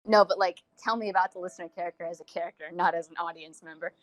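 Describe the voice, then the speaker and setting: A woman chatting casually as a podcast co-host, gushing and rambling like an excited fan, peppering her talk with "like". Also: fairly low pitch, quick pace, no room sound, low-quality recording. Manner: gushing, rambling, frequent use of "like". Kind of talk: casual fan interview chat.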